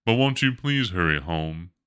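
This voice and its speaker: A man's voice: low-pitched, lively intonation, normal speed, a bit bright, clean tone. A man voicing a weary, worried storybook mother in a plaintive, resigned manner. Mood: sad, weak.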